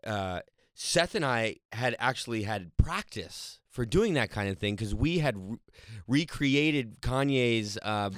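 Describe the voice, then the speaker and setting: A man's voice: mid-range voice, fairly bright tone, no echo. Guy rambling thru a film story, laughs a lot, dry close sound. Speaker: actor and director. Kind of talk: casual interview talk.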